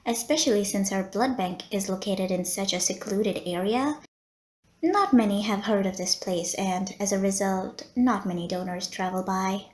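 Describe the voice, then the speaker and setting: A woman's voice: medium pitch, moderate pace, a little mellow, reverberant, close to an everyday voice. A woman playing a polite, teasing female vampire who runs a blood bank, in an audio roleplay spoken to the listener. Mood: sweet, welcoming. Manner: genteel, courteous, teasing. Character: polite, flirty female vampire running a blood bank, playful and long-suffering. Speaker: medical center receptionist.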